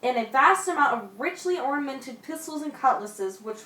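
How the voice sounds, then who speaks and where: In a roomy echo, a little off the mic, a woman plays an old sea storyteller in a radio drama. The voice is fairly high and tells the yarn dramatically. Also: expressive, normal speed, pushed and bright, recorded from a distance. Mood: suspenseful. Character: old seafarer spinning yarns to young lads. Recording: echoey room, off mic.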